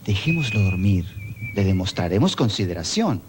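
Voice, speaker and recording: A man's voice: deep voice, big pitch swings, moderate pace, background noise. A man with an Ecuadorian accent hosting a children's TV show, friendly and coaxing as he talks to kids. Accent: Ecuadorian Spanish. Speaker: children's TV host. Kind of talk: children's TV presenting.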